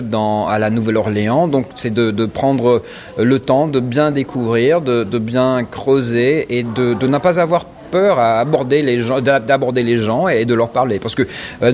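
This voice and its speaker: A man from New Orleans, a guest on a radio interview, speaking thoughtfully with many hesitations. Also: mid-range voice. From Louisiana, New Orleans. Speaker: interview guest, cultural association president.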